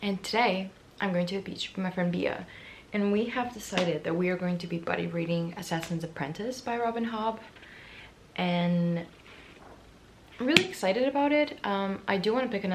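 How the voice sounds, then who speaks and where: A young woman vlogging casually about books, her voice raspy and hoarse from yelling, chatty and self-mocking, with little stumbles and repeated words. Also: lowish voice, slight background noise. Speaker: book vlogger. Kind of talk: casual vlog chat.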